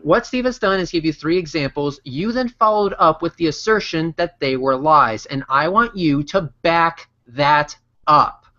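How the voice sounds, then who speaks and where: A man's voice: a little high, moderate pace, pushed and bright. A man on an online debate panel, arguing bluntly and scolding with plenty of swearing. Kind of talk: heated argument. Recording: dull, lo-fi online call audio.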